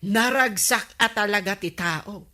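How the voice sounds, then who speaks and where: A woman's voice: mid-range voice, measured pace, background noise, close to an everyday voice. A woman with an Ilocano accent playing a chatty, gossiping village neighbour in a comedy radio drama. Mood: gossipy. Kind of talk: broadcast comedy drama acting.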